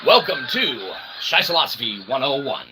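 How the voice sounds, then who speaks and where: A man's voice: mid-range voice, big pitch swings, normal speed. A man hosting a rambling comedic monologue in a folksy showman's way, with theatrical asides and habitual check-in tags. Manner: folksy showman, theatrical asides, often says "okay" and "that's right". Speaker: host of a solo show. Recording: clearly noisy recording.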